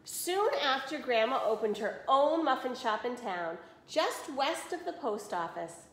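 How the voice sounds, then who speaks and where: A woman's voice: sits high, very animated, measured pace, slight background noise. A woman in her forties teaching children, reading aloud and explaining casually. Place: small indoor room at home. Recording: roomy home video recording.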